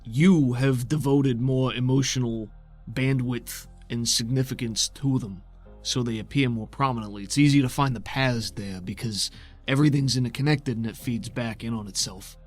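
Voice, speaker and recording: A man's voice: medium pitch, moderate pace. Folksy man roleplaying, music behind. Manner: folksy, casual phrasing. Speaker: game master of a tabletop role-playing show. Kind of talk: improvised in-character roleplay with narration.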